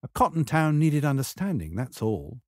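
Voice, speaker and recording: A man reading a scripted memoir as a wry, measured storyteller. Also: on the high side, sing-song, darkish tone, dry recording, studio quality. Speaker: memoir reader.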